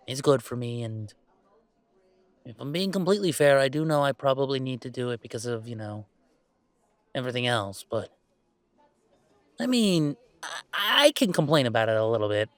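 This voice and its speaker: A man's voice: mid-range voice, lively intonation, measured pace, pauses often, dry recording, close to an everyday voice. A man playing a weary but fond werewolf gamer who streams, bantering and complaining in a conversational roleplay audio. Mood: playful grumbling. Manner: sighing, bantering, mock-defensive complaining. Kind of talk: one-sided roleplay audio drama, conversational.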